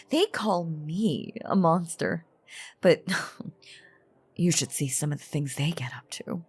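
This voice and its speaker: A woman playing an ancient, playful yet menacing female vampire in an audio drama, teasing in theatrical, old-fashioned phrasing with a husky, breathy voice. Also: deep voice, a little mellow. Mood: sly, pointed. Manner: theatrical, teasing, archaic wording, deliberate pauses. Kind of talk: dramatic character audio roleplay monologue.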